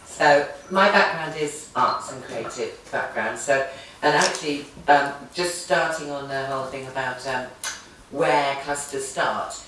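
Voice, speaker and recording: A woman on a discussion panel who begins her answer in a measured, slightly hesitant way. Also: rumbling low, a bit bright, a little hiss or hum. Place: tech event space indoors. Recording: echoey room, distant from mic.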